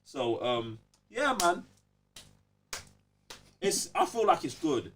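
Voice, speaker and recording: A man's voice: fairly high pitch, very animated, slow pace, fairly bright tone, distant mic, soft background music. A young man with a London accent talking emphatically and in slang on a casual podcast, often checking that he's being followed. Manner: emphatic, slangy, repeats check-in tag phrases. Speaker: podcast host.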